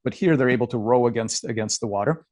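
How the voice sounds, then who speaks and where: A man giving a scientific lecture over slides, halting with many fillers and repeats, and losing his voice. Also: medium pitch, a little mellow, no room sound, studio quality. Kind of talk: academic talk over slides.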